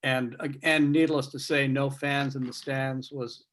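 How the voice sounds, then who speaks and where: A man's voice: mid-range voice, flat delivery, unhurried, fairly bright tone. Reflective man in casual talk. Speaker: sports writer on a panel. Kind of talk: casual video-meeting talk. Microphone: video call.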